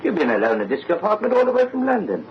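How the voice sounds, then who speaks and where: An actor playing a deferential, matter-of-fact English railway guard in an old-time radio drama. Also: male voice, sits high, huge pitch swings, fast talker, music in the background. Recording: muffled, noisy old radio recording.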